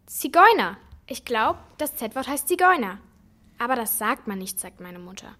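A woman's voice: fairly high pitch, big pitch swings, moderate pace, pushed and bright, full character voice. A woman playing a frank, know-it-all young girl, blunt and confident, in a children's radio play. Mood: blunt, matter-of-fact. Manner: blunt, matter-of-fact, confident.

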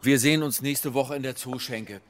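An actor playing a menacing East German secret police handler in a crime radio play, taunting and condescending. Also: male voice, mid-range voice, normal speed, lightly acted. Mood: mocking, condescending.

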